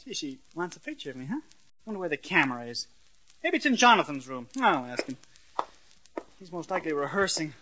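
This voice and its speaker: Bashful, bright-voiced, boyish radio sitcom innocent. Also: male voice, a little high, big pitch swings, a little fast, long pauses. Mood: earnest. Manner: stammering, flustered. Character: shy, naive, boyish young man, bashful and oblivious. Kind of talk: 1940s radio sitcom acting. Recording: dull, noisy vintage radio recording.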